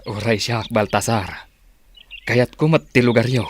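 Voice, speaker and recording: A man's voice: medium pitch, a little slow, slight background noise, natural voice. An actor playing a concerned male confidant of a village chief in a radio drama.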